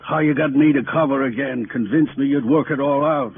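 A man's voice: medium pitch, brisk, close to an everyday voice. An American actor playing a weary, guilt-ridden aging father who speaks plainly and ruminatively in a 1950s radio drama. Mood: weary, resigned. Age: middle-aged or older. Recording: tinny, noisy old radio broadcast.

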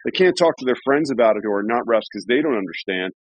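A man's voice: mid-range voice, expressive, fast talker, no echo. A man in his forties hosting a casual interview, chatty and rambling with restarts and laughs. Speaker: podcast host. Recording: dull lo-fi sound.

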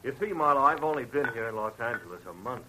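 An actor playing an anxious, well-spoken husband and shop owner, earnest and dramatic in 1940s radio style. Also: male voice, medium pitch, expressive, fast talker, pushed and bright. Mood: shaken. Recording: noisy old radio broadcast recording.

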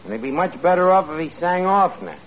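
An actor playing a self-important, ungrammatical New York tavern manager in a 1940s radio comedy. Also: male voice, high-pitched, expressive, fast. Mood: sarcastic. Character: gruff, wisecracking, uneducated tavern manager fancying himself a composer. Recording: muffled old radio broadcast, noisy, studio audience.

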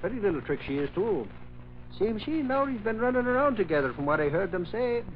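Blustery high-voiced reporter, wildly animated. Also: male voice, fast talker. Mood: animated, gossipy. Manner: blustery, exasperated, colloquial. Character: excitable, blustery male newspaper reporter with slangy talk. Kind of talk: old-time American radio drama acting. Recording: muffled, hissy old radio recording.

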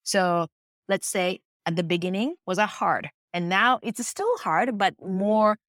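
A woman's voice: medium pitch, lively intonation, measured pace, fairly bright tone, no room sound, clean studio sound. A middle-aged woman with a Japanese accent, a face yoga teacher answering interview questions in an earnest, reflective way. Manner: earnest, reflective storytelling with acted quotes.

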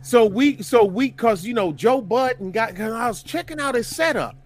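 High-pitched rambling man in his fifties. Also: lively intonation, moderate pace. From Detroit, USA. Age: 50s. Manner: rambling, searching for words, many restarts. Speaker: podcast co-host. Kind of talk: casual group banter.

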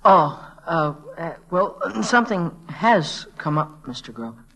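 Eager, hesitant teenage boy, higher voice. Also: animated, unhurried, a bit bright, exaggerated voice, poor audio. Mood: nervous, polite. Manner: hesitant, stammering, deferential. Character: eager, polite, earnest teenage messenger boy. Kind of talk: 1940s-50s radio drama acting.

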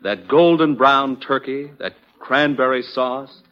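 A man's voice: mid-range voice, slow, pushed and bright, lo-fi sound. An American man announcing in 1940s radio advertising style, with hearty, folksy salesmanship, on a vintage recording.

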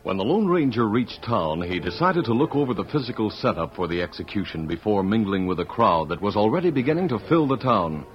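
A man's voice: lowish voice, very animated, brisk, few pauses, faint music behind. A man narrating an old-time radio western in a scripted, dramatic storytelling style. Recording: muffled, hissy vintage radio recording.

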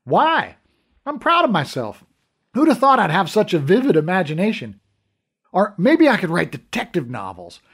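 Loud cocky cop guy, teasing, high and bouncy , man doing him. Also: huge pitch swings, moderate pace, husky, heavily acted. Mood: mocking. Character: brash, mocking adult male police officer.

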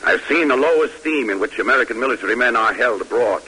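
A man's voice: mid-range voice, animated, quick pace, pushed and bright, breathy edge, low-quality recording. An actor playing a stern, dignified army major in old-time radio drama, formal and commanding in his oratory. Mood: fervent, proud. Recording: hissy vintage radio recording.

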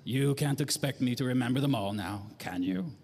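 A man's voice: mid-range voice, normal speed, close to an everyday voice. Man playing a smug, genteel nightclub owner. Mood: amused, evasive. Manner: affectedly refined, loquacious, chuckling. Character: smug, genteel middle-aged male nightclub owner, shady and soft. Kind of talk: 1940s noir radio drama acting.

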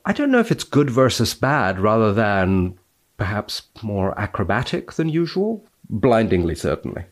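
Male scientist guest, low register, precise and measured when explaining or reading a paper aloud. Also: big pitch swings.

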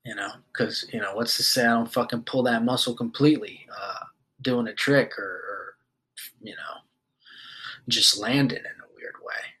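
A man's voice: medium pitch, moderate pace, pushed and bright, poor audio. A man in his twenties hosting a podcast, talking loosely and rambling in a casual monologue, swearing often and leaning on fillers. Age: 20s. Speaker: podcast host.

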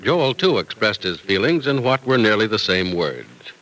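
A male old-time radio announcer with a bright, forceful tone. His polished scripted delivery is theatrical, with animated intonation throughout. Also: on the low side, normal speed, rough recording.

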